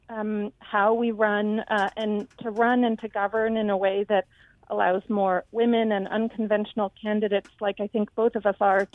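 A woman's voice: mid-range voice, dry recording. Woman interview guest, tinny phone-like sound, dry, thoughtful delivery with ums. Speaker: city council candidate. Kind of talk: live radio interview.

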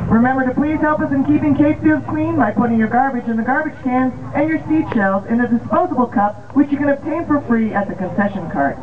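A far-off, tinny loudspeaker carries an American woman's voice across a noisy outdoor ballpark. She is the stadium announcer, reading scripted lineups and promotions with measured, formal phrasing. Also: a little high. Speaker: stadium public address announcer at baseball games. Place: outdoor baseball field, heard over the PA system.

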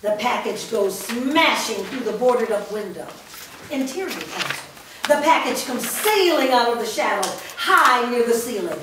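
A woman reading a screenplay's stage directions aloud in a measured, descriptive way at a staged reading, recorded in a large studio from a distance. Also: a little high, sing-song, background noise. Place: large indoor rehearsal studio. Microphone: far camera mic. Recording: echoey studio from far off.

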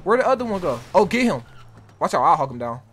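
High-pitched, animated American man gaming. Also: fast talker. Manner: insistent, urgent, repeats himself. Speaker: game streamer playing a roleplay crew leader. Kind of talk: in-character gaming chatter, giving orders.

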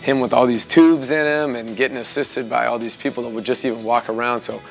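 A man's voice: medium pitch, fairly even intonation, brisk, gravelly, faint music behind. A man talking casually in an interview.

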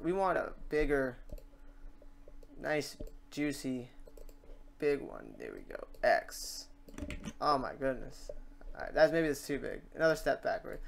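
A man hosting an off-the-cuff software tutorial, informal and rambling, muttering to himself as he works. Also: a little high, very animated, measured pace, vocal fry, long pauses. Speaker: tutorial host.

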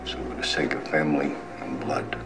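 An actor playing a menacing older mob boss who speaks slowly and deliberately, with quiet threat. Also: male voice, very deep voice, flat delivery, rough recording. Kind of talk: film dialogue. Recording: film soundtrack with music underneath.

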